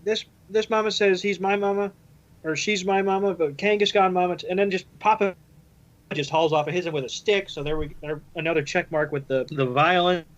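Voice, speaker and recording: A man with a fairly high voice on a slightly dull, lo-fi recording. He chats and jokes casually as a podcast panelist, blunt and quick to swear. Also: steady tone. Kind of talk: casual joking chat.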